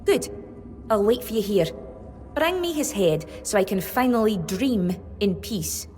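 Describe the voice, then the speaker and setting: An actress playing a solemn, devoted tribeswoman in a fantasy video game, speaking gravely and earnestly with longing. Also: medium pitch, animated, darkish tone, lightly acted. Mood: grim, determined. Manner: grave, earnest, measured delivery. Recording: long reverb with music behind.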